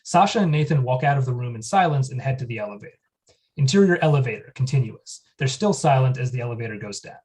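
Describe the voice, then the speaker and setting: A man's voice: mid-range voice, expressive, fast, a little mellow. A man reading the stage directions at a table read in a plain, matter-of-fact way.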